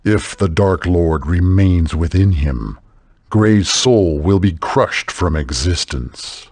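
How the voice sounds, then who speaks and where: A man playing a solemn, formal, knowing otherworldly guide in a video game, with a husky, measured, grave delivery. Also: low-pitched, darkish tone, no room sound, full character voice.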